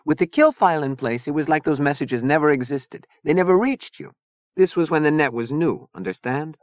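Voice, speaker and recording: Fierce young woman voiced by a man, pitched high. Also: sing-song, a little fast, darkish tone, no room sound, muffled recording. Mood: explaining. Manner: combative, profane, imperious. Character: fierce, hot-tempered young Mexican woman gang leader. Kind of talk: audiobook character dialogue.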